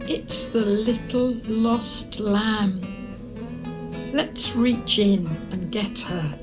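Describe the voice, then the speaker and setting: A woman reads to young children at a very unhurried pace. Her delivery is expressive and deliberate, with a sing-song storyteller's lilt. Music plays clearly along with her voice. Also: medium pitch, poor audio. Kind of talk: animated chanted story reading for young children.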